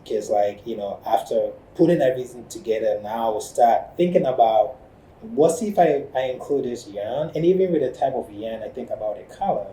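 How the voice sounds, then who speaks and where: Ghanaian-accented man, mellow and reflective. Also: mid-range voice, animated, husky, poor audio. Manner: thoughtful, halting, many "you know" fillers. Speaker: ceramic artist. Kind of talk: reflective studio talk.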